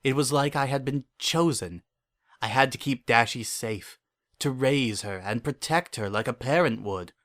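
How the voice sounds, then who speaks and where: A man's voice: mid-range voice, measured pace, a bit bright, lightly acted. A man playing a lonely, delusional, obsessive narrator in a first-person dramatic story reading, with an earnest and uneasy intensity. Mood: awed wonder. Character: lonely, obsessive, delusional man confiding his private devotion.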